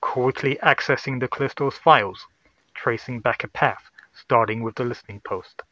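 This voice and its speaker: A man's voice: medium pitch, moderate pace, sharp tone, no echo, muffled recording. A man narrating a scripted sci-fi horror story.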